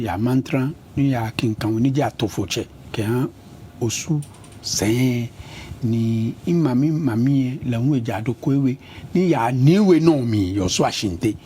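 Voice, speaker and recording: Middle-aged Ghanaian man, an interview guest with a mellow tone, recorded with a little background noise. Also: mid-range voice. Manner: switches between English and Ga, lists places at an even pace. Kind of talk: conversational interview.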